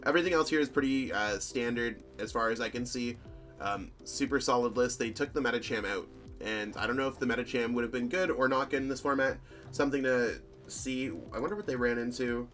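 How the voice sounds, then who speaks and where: A man of average pitch talks through card game deck lists in a loose, rambling way, his voice slightly hoarse. He fills gaps with ums and corrects himself mid-sentence as he goes. Faint music plays quietly behind him. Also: fairly quick. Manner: chatty, rambling, lots of fillers and self-corrections. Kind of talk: casual commentary over deck lists.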